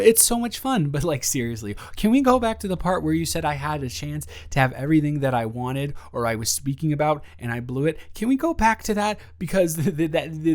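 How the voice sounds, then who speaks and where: Guy playing a flustered young dude in an audio rp, rambles and trips over words, pitch jumps all over, puts himself down. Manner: rambling, stammering, self-deprecating. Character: flustered, rambling young man nervously confessing to his roommate. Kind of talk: improvised audio roleplay monologue.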